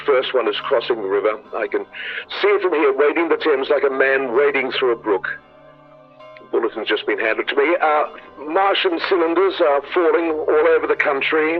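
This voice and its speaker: A man acts a 1930s radio newsman reporting a catastrophe, shaken and halting. His voice is husky and comes through a narrow, tinny radio sound with faint music underneath. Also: medium pitch. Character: shaken radio reporter watching the invasion of London. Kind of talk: 1930s radio drama acting, eyewitness news bulletin.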